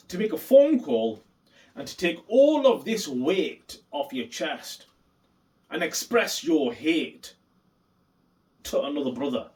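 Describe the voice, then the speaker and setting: A man giving opinion commentary as a reaction video host, speaking emphatically and deliberately and repeating points for stress. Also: on the high side, darkish tone. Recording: voice distant from the mic.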